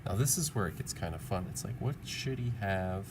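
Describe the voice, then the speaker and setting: Low-voiced man teaching drawing, noisy recording. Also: animated, brisk. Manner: encouraging, informal, musing. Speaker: art teacher. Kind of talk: casual drawing tutorial, thinking aloud. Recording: clearly audible background noise.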